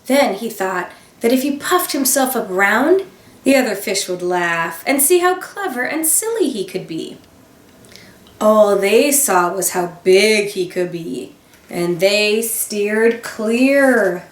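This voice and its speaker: A woman teacher reading a children's picture book aloud, expressive, with gasps and dramatic emphasis. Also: mid-range voice, slow pace. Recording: roomy sound, voice a bit far from the mic.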